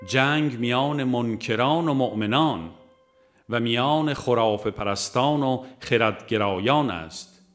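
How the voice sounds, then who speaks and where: A man reading a religious book aloud as a formal, measured scripted narration. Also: fairly low pitch, fairly bright tone. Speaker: audiobook narrator.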